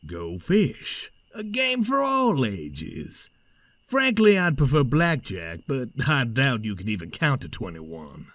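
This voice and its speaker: A man's voice: mid-range voice, wildly swooping pitch, slow pace, a bit bright, dry recording, heavily acted. A man playing a sly, menacing scarecrow villain in an audio drama, taunting in a folksy, theatrical Southern or Western American drawl. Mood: amused, taunting. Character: menacing male scarecrow, sly Wild West villain card dealer. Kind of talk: audio drama character acting.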